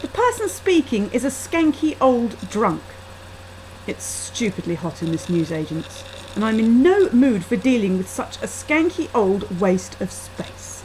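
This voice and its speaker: A woman's voice: a little high, very animated, a little slow, a little mellow, a little hiss or hum, rough recording. A woman reading her own first-person short story aloud into a laptop webcam microphone.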